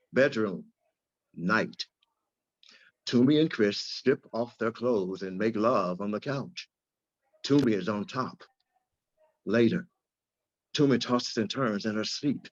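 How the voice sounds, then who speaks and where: Mid-pitched male narrator, dull lo-fi sound. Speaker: stage-direction reader. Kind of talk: scripted narration at a live script read. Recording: dull lo-fi audio.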